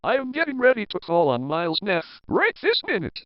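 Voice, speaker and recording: A man's voice: high voice, wildly swooping pitch, brisk. A man playing an exasperated, scolding TV newsroom boss in a comedy sketch, repeating himself emphatically. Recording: dull lo-fi audio.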